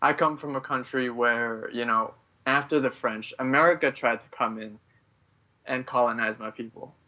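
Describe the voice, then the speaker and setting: A man originally from Vietnam, a guest writer on a podcast interview, talking haltingly and leaning on "you know" between thoughts. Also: mid-range voice, fairly even intonation, bright, forceful tone, highs cut off.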